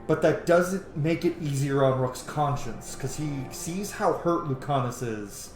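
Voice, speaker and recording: A man giving casual, reflective game commentary, thinking aloud in a halting, informal way. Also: on the high side, roomy sound, soft background music. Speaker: gamer, host of a roleplay playthrough.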